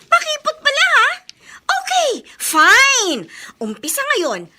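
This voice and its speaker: A woman's voice: extremely high pitch, wildly swooping pitch, slow pace, bright, forceful tone, full character voice. A woman playing an earnest, feisty young Filipino woman in a radio drama, speaking emotionally and emphatically. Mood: indignant. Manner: emotional, emphatic, mixes in English phrases.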